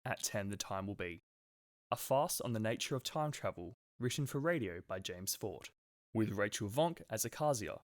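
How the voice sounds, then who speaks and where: Casting: male announcer-narrator for radio drama, lowish pitch, very lively intonation, scripted and theatrical. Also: dry recording, studio quality. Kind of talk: scripted radio play introduction.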